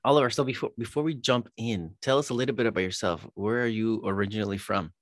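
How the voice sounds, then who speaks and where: A man's voice: on the low side, fairly even intonation, quick pace, no echo, clean studio sound. A man hosting a business podcast, welcoming guests in an upbeat way. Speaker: podcast host. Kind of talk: podcast interview.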